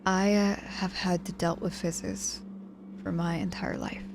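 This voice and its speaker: A woman acting a proud, guarded young witch hurt in hospital, halting and grudgingly tender, in an intimate ASMR audio roleplay. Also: lowish voice, little pitch movement, creaky, background noise. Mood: quiet, confiding.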